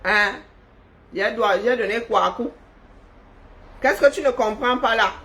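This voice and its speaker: A woman delivers teasing, scolding commentary to viewers through her phone or webcam. She talks slowly and drawls her words, mocking her critics with sarcasm. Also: a little high, a bit bright. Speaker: online commentator. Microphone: webcam or phone on a screen.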